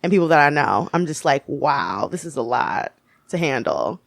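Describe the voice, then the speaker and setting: A woman in her thirties, a guest on a podcast, talking casually and joking, laughing often and jumping up in pitch when she quotes people. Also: moderate pace, vocal fry, no room sound, studio quality. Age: 30s. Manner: animated, jokey, laughs often, jumps up in pitch for quoted asides. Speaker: guest, author and model. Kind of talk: casual interview chat.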